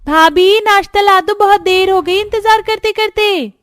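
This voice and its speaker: A woman's voice: very high voice, a little slow, fairly bright tone, no echo, clean studio sound. A woman voicing a young, demanding sister-in-law in an Indian cartoon family drama, with exaggerated expression. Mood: impatient. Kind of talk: Hindi cartoon family story dubbing.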